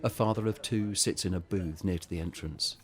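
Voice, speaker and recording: A man narrating a scripted audio drama in a measured, descriptive way. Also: deep voice.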